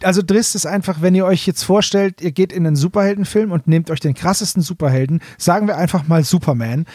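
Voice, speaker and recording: Guy co-host, bit higher voice, explaining fan stuff casually, dry recording. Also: fast, darkish tone, no room sound. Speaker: podcast co-host.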